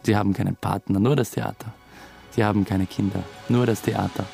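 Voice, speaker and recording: An actor playing a young theatre employee in a radio drama, dry, understated and defensive. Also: male voice, lowish voice, steady tone, brisk, vocal fry, dark tone, soft background music. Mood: grave narration. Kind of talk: radio drama narration and dialogue.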